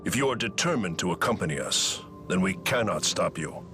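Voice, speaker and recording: Deep, solemn elder spirit. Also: male voice, expressive, creaky, music in the background. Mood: solemn, kind. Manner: grave, formal, measured. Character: solemn, wise, stoic male elemental spirit. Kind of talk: video game voice acting.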